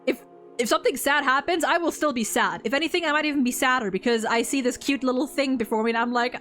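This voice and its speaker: A woman with a fairly high voice chats casually about gaming. She is animated and gushing, often slipping into quotes as she explains. Soft music plays behind her. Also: fast talker. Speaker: co-host ranking game story quests.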